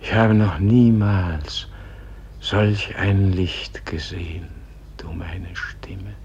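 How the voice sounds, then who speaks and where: Weary dying holy man in a radio play, husky n halting, solemn, muffled old recording. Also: low voice, fairly even intonation, a little mellow, exaggerated voice. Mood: awed, faltering. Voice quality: husky, breathy. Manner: slow, grave, halting. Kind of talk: solemn poetic German radio play acting.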